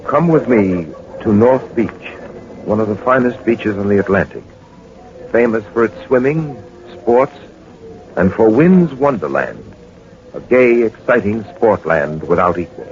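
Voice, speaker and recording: A suave gentleman adventurer, low and lively in pitch, with a measured, polite manner. He sounds like 1940s radio drama, on a muffled recording. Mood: scene-setting. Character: suave, composed gentleman adventurer and detective hero. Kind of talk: 1940s radio detective acting with narration.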